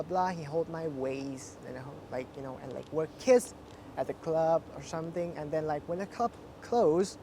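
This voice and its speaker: Deep-voiced young woman chatting casually. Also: mellow, a little hiss or hum. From Thailand. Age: 20s. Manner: chatty, many fillers, laughs often. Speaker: interviewee. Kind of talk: casual interview chat.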